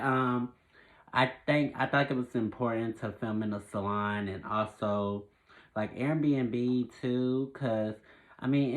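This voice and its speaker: A man with a head cold, sounding stuffed up, who chats casually to his viewers with many restarts and self-corrections. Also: fairly low pitch. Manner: laughs at his own jokes. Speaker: filmmaker vlogger. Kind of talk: casual talking-to-camera vlog.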